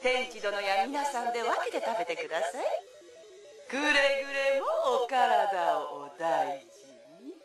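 A woman's voice: fairly high pitch, very animated, a little slow. A woman playing an elegant, playful grandmother with polite, formal speech in an anime radio drama. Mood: gracious, affectionate. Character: elegant, playful grandmother reading her affectionate letter aloud. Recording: heavily reverberant voice over music.

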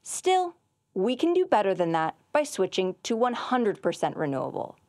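A young woman, an assistant winemaker, giving clear and measured educational narration. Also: mid-range voice, sing-song, darkish tone, no echo. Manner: clear, measured, informative delivery.

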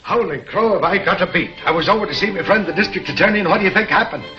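A man's voice: high voice, racing, pushed and bright, faint music behind. An actor playing a blustering, comic older Irishman in a 1940s serial. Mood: excitable. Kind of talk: 1940s film serial acting. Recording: dull 1940s film soundtrack.

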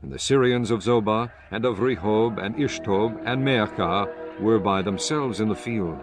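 A man narrating scripture from a script in a measured, solemn manner. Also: on the low side. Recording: music behind the voice.

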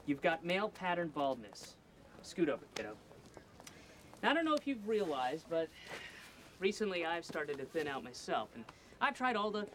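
A chummy, eccentric principal. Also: male voice, high voice, a bit bright, slight background noise. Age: middle-aged. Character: quirky balding school principal.

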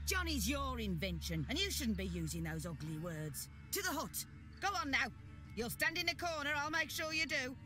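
A woman's voice: high voice, very animated, moderate pace, sharp tone, soft background music, full character voice. An actress playing a wary, scolding old village grandmother in a video game, querulous and rustic. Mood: scolding, suspicious. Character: wary, scolding old peasant granny, wistful about youth. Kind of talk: dramatic game cutscene acting.

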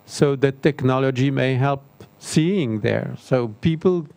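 An older man giving panel remarks in halting into a handheld microphone. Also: mid-range voice, gravelly, darkish tone, dry recording. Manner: halting non-native English. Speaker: expert panelist. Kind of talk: spontaneous panel discussion remarks. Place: seminar auditorium on stage. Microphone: handheld microphone close to mouth.

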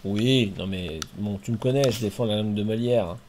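A man's voice: lowish voice, fairly quick, a little mellow, slight background noise. A man from France chatting casually with a friend while playing a farming game, chatty and jokey with playful swearing. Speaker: streamer and host.

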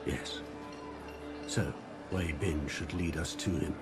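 An actor playing an older assassin master in a video game, a calm, patient mentor who speaks gravely and deliberately. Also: male voice, a bass voice, over music. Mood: somber. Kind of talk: dramatic game cutscene dialogue.

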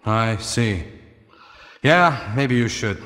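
A swooping, well-meaning action-game teammate. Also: male voice, lowish voice, expressive, drawn-out words, fairly bright tone, exaggerated voice. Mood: protective. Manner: stilted, exaggerated swooping delivery. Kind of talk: 1990s video game voice acting. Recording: long hollow reverb.

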